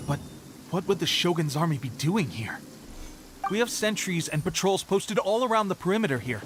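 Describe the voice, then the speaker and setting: A man's voice: high-pitched, very animated, normal speed, a bit bright, slight background noise. A man playing an eager, self-important young resistance soldier in a video game, with a competitive, boastful manner. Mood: alarmed, suspicious. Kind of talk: scripted video game character acting.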